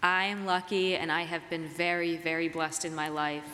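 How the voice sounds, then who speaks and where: A woman preacher delivering a reflective, measured sermon, her voice slightly raspy as she gets over a cold. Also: sits low, flat delivery, sharp tone. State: getting over a cold and flu. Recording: reverberant hall, clear background noise.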